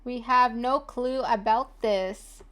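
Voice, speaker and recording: A woman's voice: on the high side, takes it slowly, a bit bright. A woman streamer giving casual, muttered, repetitive commentary over a game playthrough, with some noise behind her. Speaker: gaming streamer.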